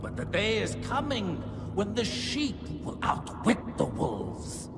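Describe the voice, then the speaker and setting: Brief: ambitious game villain, a vampire lord with higher-set, swooping delivery, grandiose and deliberate. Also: male voice, huge pitch swings, gravelly, heavily acted. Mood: menacing pride. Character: scheming, eloquent male vampire lord, coldly ambitious. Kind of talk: dramatic video game cutscene acting. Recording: long reverb under loud music.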